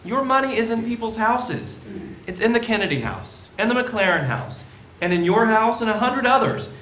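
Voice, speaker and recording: Earnest young loan manager played by a man, very lively and emphatic, 1940s radio drama on an echoey stage. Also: high-pitched, moderate pace, full character voice. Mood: pleading, earnest. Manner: pleading, emphatic, speech-making. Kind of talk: stage radio play acting, 1940s manner. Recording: roomy theatre stage, faint noise.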